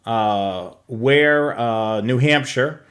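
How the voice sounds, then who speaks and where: An American man co-hosting a live hobby show, chatting casually with halting, enthusiastic delivery. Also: medium pitch, a bit bright. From New England, United States.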